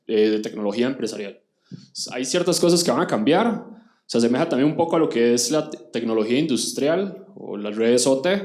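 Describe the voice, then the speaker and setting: A man's voice: mid-range voice, darkish tone, roomy sound. Costa Rican man giving a tech talk. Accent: Costa Rican Spanish. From Costa Rica. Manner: explanatory, addressing the audience directly. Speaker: conference speaker. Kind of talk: conference talk.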